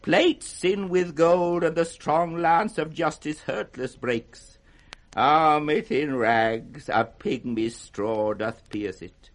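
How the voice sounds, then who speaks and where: Raving old British king, slow and erratic. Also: a little high. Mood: scornful, ranting. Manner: declamatory, erratic, swinging from roaring to hushed. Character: mad, raving old king, wounded and grandiose. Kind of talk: 1960s radio Shakespeare acting.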